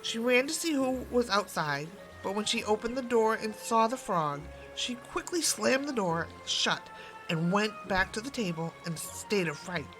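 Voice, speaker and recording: A woman storyteller giving an expressive, scripted narration of a fairy tale. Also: medium pitch, normal speed, rough recording. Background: music.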